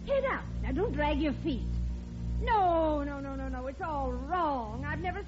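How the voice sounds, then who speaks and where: A woman's voice: sits high, lively intonation, measured pace, over music. An actress playing a snobbish, nagging mother of the groom in a classic radio comedy, fussy, imperious and condescending. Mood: exasperated, bossy. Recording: dull old radio recording, hiss, audience.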